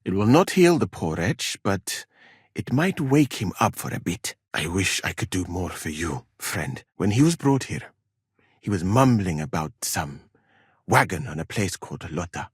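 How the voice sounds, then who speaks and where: A man's voice: low-pitched, sing-song, husky, no room sound, studio quality, heavily acted. A man playing a proud, exacting medieval physician in a video game, speaking formally and precisely. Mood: calm, grave. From Mali. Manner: formal, measured, precise wording. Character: proud, exacting foreign male physician in a medieval war camp. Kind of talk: dramatic video game dialogue.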